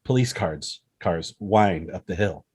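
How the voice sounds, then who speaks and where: A man narrates the stage directions of a script. His voice is fairly low and husky. He reads the action dramatically, in short, punchy phrases. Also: unhurried, darkish tone. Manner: punchy, present-tense action reading.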